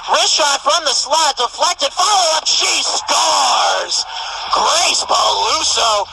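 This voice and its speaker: A man's voice: very high voice, creaky, noisy background. A man doing emphatic play-by-play ice hockey commentary for a radio broadcast from the rink. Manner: rapid-fire, emphatic calling of action.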